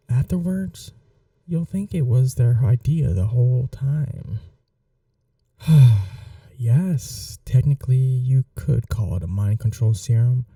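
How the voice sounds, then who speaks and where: Man playing a smug, dark-voiced mad scientist roommate. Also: mid-range voice, vocal fry, no echo, recorded from a distance, heavily acted. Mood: smug, scheming, then proud, condescending. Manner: casual, coaxing, condescending, self-satisfied. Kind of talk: ASMR audio roleplay, intimate acted monologue.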